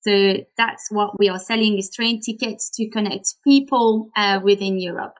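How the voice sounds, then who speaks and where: A woman's voice: mid-range voice, fairly even intonation, normal speed, rough recording. A Belgian woman with a French accent, a native French speaker, explaining her work carefully as a guest on a webinar call. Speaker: webinar guest, optimization lead.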